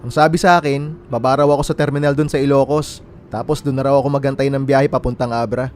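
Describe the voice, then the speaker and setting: A man's voice: mid-range voice, flat delivery, fast talker, a little mellow, a little hiss or hum, close to an everyday voice. A man with a Filipino accent playing a friendly, candid young bachelor in casual radio drama dialogue. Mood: casual, upbeat. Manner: casual, conversational.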